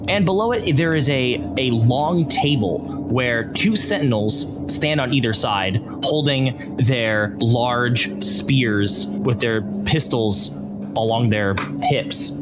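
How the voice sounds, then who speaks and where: A man narrating a tabletop game as game master, describing scenes in a relaxed, descriptive way. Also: mid-range voice, takes it slowly, over loud music.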